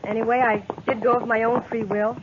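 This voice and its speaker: A woman's voice: fairly high pitch, level tone, fairly quick, natural voice. A woman playing an earnest, loyal young frontier woman in an old radio western. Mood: determined. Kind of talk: 1950s radio western acting. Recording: muffled, noisy old radio recording.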